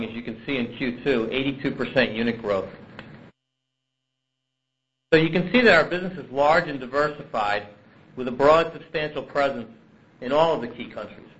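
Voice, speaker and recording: Male corporate executive for an analyst call presentation, mid-pitched, measured and businesslike, on slightly muffled audio. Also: fairly bright tone.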